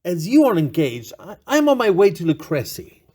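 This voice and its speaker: A man voicing a courteous, scheming nobleman in a gothic story, flattering and falsely solicitous. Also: high voice, brisk, husky, full character voice. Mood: feigned worry. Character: Montresor, smooth-talking vengeful nobleman feigning friendly concern.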